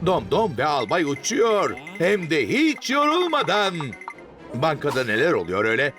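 A man's voice: a little high, wildly swooping pitch, normal speed. A man narrating a children's cartoon in an exclamatory, theatrical way, with frequent rhetorical questions. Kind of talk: animated scripted cartoon narration. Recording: music under the voice. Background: music.

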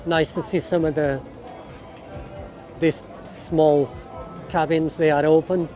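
A middle-aged man with a fairly high voice guides a casual walking tour. He is enthusiastic, given to exclamations and repeated phrases. He is recorded outdoors with music and a crowd behind him. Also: flat delivery, slow, mellow. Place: busy outdoor street market. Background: music and crowd chatter.

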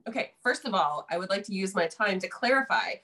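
A woman in her thirties joins a relaxed group video call from home. She talks a lot, in a playful and wry way. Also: mid-range voice, a little fast, a little hiss or hum. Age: 30s. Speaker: podcast guest.

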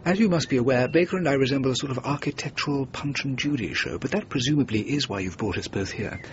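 Actor as an eloquent architect, muffled recording. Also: male voice, quick pace, close to an everyday voice. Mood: reflective. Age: middle-aged. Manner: eloquent, urbane, formal phrasing. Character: witty, idealistic middle-aged male English architect of the 1920s. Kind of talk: radio drama acting. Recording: muffled radio recording with rumbling noise.

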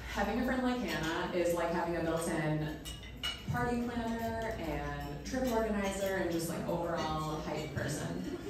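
A woman in her thirties giving a chatty, emotional bridesmaid toast at a standing mic, with many ums and bursts of laughter. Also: sits low. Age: 30s. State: emotional, near tears. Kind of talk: wedding toast read from a phone. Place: small indoor reception room. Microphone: standing mic, filmed from across the room. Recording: echoey room from a distant camera, noisy crowd.

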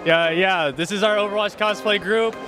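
Young cosplayer dude giving a quick plug, high voice, music in the background. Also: male voice, steady tone. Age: young adult. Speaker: con volunteer and cosplayer. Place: busy convention hall. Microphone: held interview mic.

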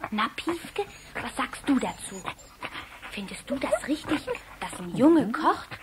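A cheeky little rich girl, high and very animated. She is breezy and theatrically polite in an old radio play, on a record with clear surface noise. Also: takes it slowly. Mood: musing. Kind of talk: 1960s German children's radio play acting. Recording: noisy 1960s vinyl record.

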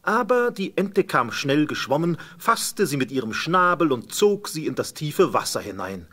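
A man's voice: a little high, very animated, moderate pace, pushed and bright. A man narrating a scripted fairy tale as a storyteller.

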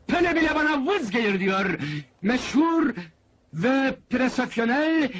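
Wily boss, high voice, very lively intonation, huckster patter, bossy, pompous, comedy film. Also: male voice, measured pace, full character voice. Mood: showy, excited. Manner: loud huckster patter, pompous mock-formal announcements. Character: wily, bossy male fairground boss and showy barker. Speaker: actor. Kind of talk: comic film dialogue and fairground barking.

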